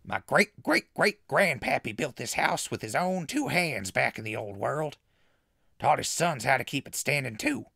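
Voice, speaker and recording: Excitable gravelly backwoods hermit. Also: male voice, medium pitch, normal speed, dry recording, clean studio sound, pitched above the performer's own voice, exaggerated voice. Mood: folksy, friendly. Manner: folksy, excitable. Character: excitable, folksy male backwoods hermit, simple and forgiving. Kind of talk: post-apocalyptic audio drama acting.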